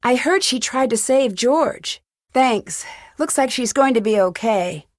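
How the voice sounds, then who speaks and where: A young woman detective for a video game, her voice on the high side and recorded dry. She is inquisitive and level-headed, putting questions in an earnest, probing way. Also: animated, unhurried, no echo. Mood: curious, composed. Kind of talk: video game voice acting, isolated dialogue lines.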